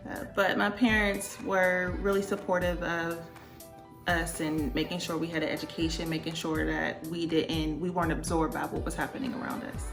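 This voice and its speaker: A woman from Pittsburgh in her thirties, co-hosting a casual couple Q&A and telling stories with lively, laughing energy. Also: on the low side, normal speed. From Pittsburgh, Pennsylvania, USA. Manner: animated storytelling with frequent laughs. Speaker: co-host, life coach. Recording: echoey room with loud music.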